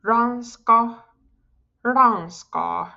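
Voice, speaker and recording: A woman teaching a Finnish language lesson, speaking slowly and repeating each word clearly for learners. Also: a little high, lo-fi sound. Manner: slow, careful pronunciation drills, repeating each word. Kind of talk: slow scripted language lesson.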